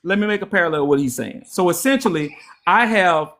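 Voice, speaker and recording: A man's voice: high voice, animated, brisk, fairly bright tone. A young man from Louisiana with a Louisiana accent, hosting a heated livestream debate, lecturing and taunting. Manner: lecturing, mocking, repetitive taunts. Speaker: live stream host.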